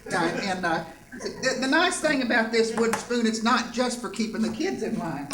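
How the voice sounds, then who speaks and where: A performer playing a folksy old grandma who rambles haltingly through a homespun cooking demonstration in a stage variety sketch. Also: medium pitch, lively intonation, distant mic, natural voice. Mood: rambling, folksy. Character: folksy old grandma pitching sponsor baking products. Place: live theatre stage. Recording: echoey noisy theatre stage recording.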